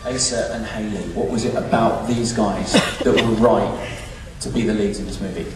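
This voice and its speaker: A man's voice: lowish voice, fairly even intonation, normal speed, darkish tone. A man moderating a stage Q&A, putting questions to the panel conversationally. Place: large cinema auditorium at a public Q&A. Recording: echoey cinema hall, distant, crowd.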